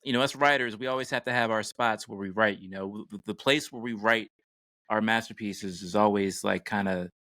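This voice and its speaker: A man's voice: lowish voice, a little fast, sharp tone, dry recording. A man co-hosting a podcast from a home studio, talking in a casual, conversational way. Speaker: podcast co-host. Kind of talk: casual chat.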